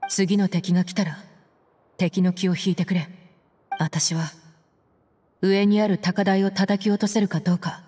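A woman's voice: mid-range voice, flat delivery, a little slow, long pauses, warm tone, a little hiss or hum, lightly acted. A woman playing a bold, confident desert mercenary in a video game, speaking in a blunt, tomboyish way. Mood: determined. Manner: brusque, tomboyish rough speech. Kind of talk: dramatic video game voice acting. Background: game music.

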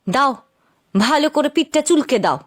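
A woman's voice: high voice, expressive, normal speed. A woman voicing a bossy, haughty landlord's wife in a cartoon folk tale. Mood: scornful, bossy.

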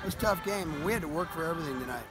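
A man in his fifties, a high school football coach, talking plainly after a night game on an outdoor field, with many fillers. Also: animated, over loud music. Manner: plain-spoken, halting with fillers. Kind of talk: post-game interview. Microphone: handheld camera close up.

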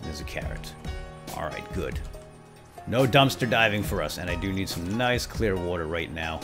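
Animated man doing playful game commentary. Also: deep voice, moderate pace, over music. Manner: animated, jokey, talks to viewers and himself, exclaims. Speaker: host of a gaming let's play series. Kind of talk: playful role-play video game commentary.

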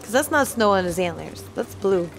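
A woman's voice: mid-range voice, lively intonation, moderate pace. A young adult woman reacting to a video game in casual chat as a show host, indoors on a headset. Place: small indoor studio. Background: game music.

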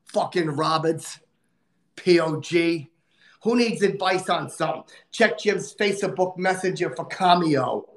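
Man putting on a crude loudmouth comic, high-pitched, gravelly, profane, exasperated. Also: a little slow. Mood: crude ranting. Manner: profane, insulting, exasperated rants. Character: crude, boastful, short-tempered adult male comic. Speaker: livestream host. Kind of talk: livestream comedy character rant.

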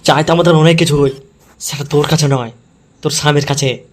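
A man's voice: a little high, steady tone, brisk, a little mellow. A man voicing a foul-mouthed, vengeful gang schemer in a comedy cartoon, with crude, threatening delivery. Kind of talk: comedy cartoon character dialogue. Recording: music behind the voice.

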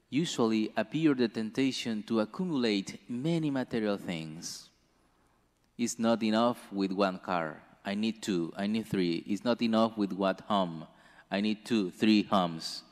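Deep-voiced priest in a reverberant church. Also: male voice. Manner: slow, measured delivery with careful pauses. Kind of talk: gospel reading and homily. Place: church sanctuary. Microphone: stand mic at a lectern.

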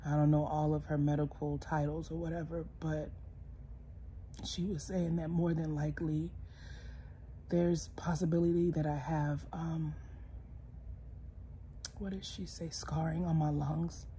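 A young woman lies in bed speaking into a close phone camera, her voice congested and hoarse. She talks slowly and wearily in a low, mellow tone, often dropping toward a whisper. A faint hiss sits under the quiet bedroom. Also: level tone. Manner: tired, soft-spoken, sometimes dropping to a whisper. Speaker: vlogger. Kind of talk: casual personal vlog. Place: bedroom, lying in bed indoors. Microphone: phone camera close, held low.

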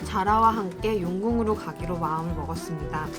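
A woman's voice: lowish voice, a little fast, over music. A woman co-hosting a show, moving between casual chat and simple storytelling read aloud. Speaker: co-host who reads the fairy tale.

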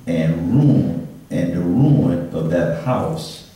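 Echoey, low-voiced preacher man. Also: lively intonation, drawn-out words, dark tone. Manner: conversational preaching with repeated calls for response. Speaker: pastor, guest preacher. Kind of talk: church sermon. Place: indoor church, speaking from pulpit to congregation. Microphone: handheld microphone through PA, picked up by distant camera. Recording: echoey church hall, distant camera microphone, congregation responding.

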